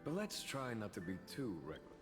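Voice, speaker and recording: An actor playing a genial, courteous general in a game, speaking graciously and without hurry. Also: male voice, fairly low pitch, wildly swooping pitch. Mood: genial, teasing. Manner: unhurried, gracious. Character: genial, courteous male general among old friends. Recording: long echo, faint music.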